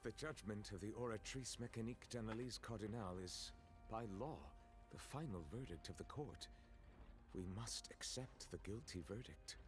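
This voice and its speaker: A man playing a solemn, formal chief judge in an anime game, measured and authoritative. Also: fairly low pitch, a crowd in the background, lightly acted. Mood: grave, firm.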